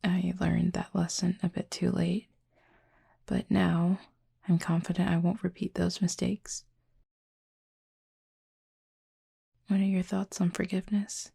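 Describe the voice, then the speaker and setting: Woman acting as gentle cupid roommate, low female voice, very gravelly creak, thoughtful, measured, intimate ASMR roleplay. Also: flat delivery, dry recording, studio quality, exaggerated voice. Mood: guarded, reflective. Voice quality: soft, breathy, husky with creak. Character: soft-spoken, reflective cupid roommate, gentle and guarded.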